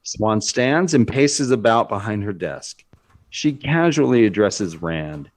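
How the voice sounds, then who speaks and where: Low-pitched man narrating a screenplay. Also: expressive, slow. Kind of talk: scripted narration of screenplay directions.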